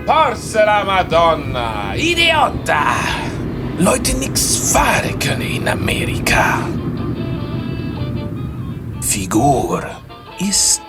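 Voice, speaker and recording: Excitable Italian cabbie, swooping pitch. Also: male voice, drawn-out words, long pauses, loud music behind the voice, full character voice. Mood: furious. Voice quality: broken grammar, thick foreign accent. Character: eccentric, pushy, pious immigrant New York taxi driver. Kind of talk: audio drama acting.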